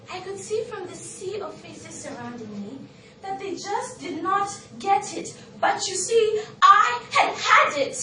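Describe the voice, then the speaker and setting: A woman with a Kenyan accent playing a shamed but devoted biblical outcast in a theatrical, emphatic stage monologue. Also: sits high. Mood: reverent, fearful, then fed up, defiant. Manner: theatrical, emphatic, drawn-out declamation. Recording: echoey, noisy room, distant microphone.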